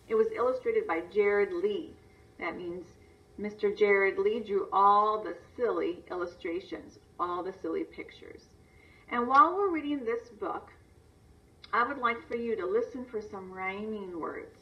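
Distant, dull-sounding woman teaching young children. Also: medium pitch. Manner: instructional, repeats and stresses words, asks simple questions. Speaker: teacher reading a picture book aloud to young children. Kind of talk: children's read-aloud lesson. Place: video lesson played on a screen and re-recorded. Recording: distant, dull replay through a screen's speaker.

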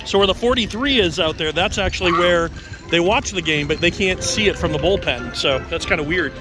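A man's voice: sits high, a little fast, rough recording. A man in his forties hosting a casual vlog tour of a ballpark, chatty and enthusiastic with lots of fillers, filmed on a phone at arm's length in the outdoor stands. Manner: chatty, enthusiastic, frequent "uh" fillers, bursts of cheering. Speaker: vlog host. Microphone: handheld phone at arm's length. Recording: loud crowd and stadium music. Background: loud crowd and stadium music.

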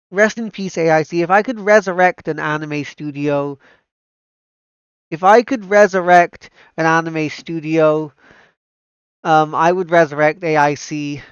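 A man's voice: on the high side, fairly bright tone, clear, resonant tone, no room sound, muffled recording. A man doing casual livestream commentary as an anime fan. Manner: enthusiastic, repeats himself. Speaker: streamer reacting to anime trailers.

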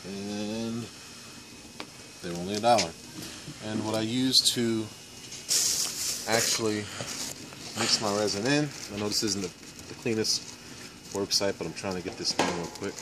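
A man in his forties giving a casual step-by-step how-to demonstration in a backyard, with relaxed fillers. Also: fairly low pitch, very noisy. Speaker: hobby boatbuilder. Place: backyard outdoors. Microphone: camera mic, handheld camera nearby.

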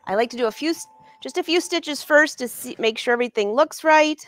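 Brief: woman in her 40s, sewing teacher on a livestream, friendly and chatty, slightly high voice, dry close sound. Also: brisk, a bit bright, no echo. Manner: chatty and upbeat, addresses viewers by name. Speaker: sewing educator and brand ambassador. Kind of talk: live-streamed sewing lesson answering viewer questions. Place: sewing studio indoors.

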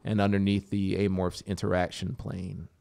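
A man in his thirties reading a story as an audiobook narrator, deliberate and theatrical, close to a studio microphone. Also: deep voice, monotone, vocal fry. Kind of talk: dramatic scripted story narration. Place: small home music studio, indoors. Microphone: close studio microphone with headphones. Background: music soundscape.

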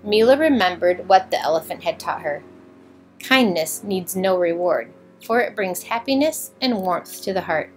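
A woman's voice: lowish voice, lively intonation, moderate pace, soft background music, rough recording. A woman narrating a children's storybook, reading aloud expressively.

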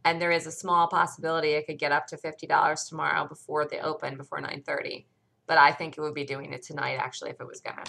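A woman with a hoarse voice from a cold, bluntly walking through a stock chart in a casual screen-share lesson. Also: deep voice, level tone, quick pace, sharp tone. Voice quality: gravelly. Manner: blunt, conversational, thinks aloud while pointing at charts. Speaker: stock trading educator.

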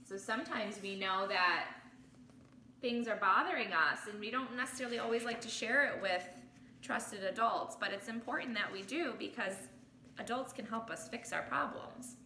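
A woman with a bright, fairly high voice reads a picture book to children like a teacher. She is expressive and explanatory. The recording is roomy, made in a living room, with faint noise behind her. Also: normal speed. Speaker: teacher reading aloud. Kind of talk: children's picture book read-aloud with commentary. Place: small living room, indoors.

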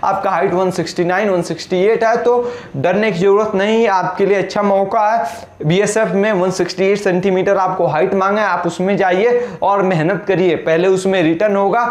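A man's voice: sits high, steady tone, normal speed, echoey room. A young man talking straight to camera, giving urgent, repeated advice about filling out a recruitment form, gesturing a lot as he speaks. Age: young adult. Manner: urgent, exhorting, repeats instructions, heavy hand gestures. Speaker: exam coaching YouTuber. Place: small indoor room. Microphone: camera in front, mid-close framing.

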